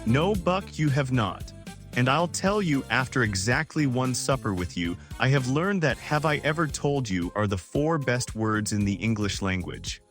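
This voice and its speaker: Guy playing keen admiring fella, normal pitch, cheery relish, audiobook drama w faint music. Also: lively intonation, moderate pace, a bit bright, lightly acted. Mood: eager. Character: eager, admiring male listener with cheerful relish.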